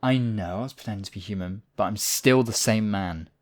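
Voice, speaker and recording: A man's voice: on the low side, a little fast, close to an everyday voice. A man playing a smug, obsessive demon king in a theatrical audio roleplay, silky and possessive in his wooing. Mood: insistent, possessive. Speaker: voice actor. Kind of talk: theatrical roleplay monologue, ASMR audio drama.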